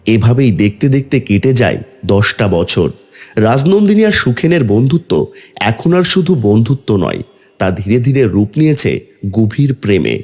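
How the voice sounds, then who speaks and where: A man's voice: medium pitch, wildly swooping pitch, moderate pace, a little mellow, faint music behind. A man delivering scripted dramatic narration as the narrator of a tale.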